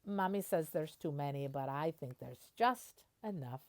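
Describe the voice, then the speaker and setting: A woman reading a children's picture book aloud like a teacher, expressively, pausing to explain words simply. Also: low voice, expressive, a little mellow.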